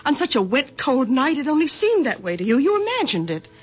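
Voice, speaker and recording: An actress playing a careful, soothing middle-aged daughter in a vintage radio drama. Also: female voice, high-pitched, sing-song, a little fast, slightly rough, soft background music, exaggerated voice. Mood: anxious. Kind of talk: 1940s radio acting. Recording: lo-fi old radio broadcast.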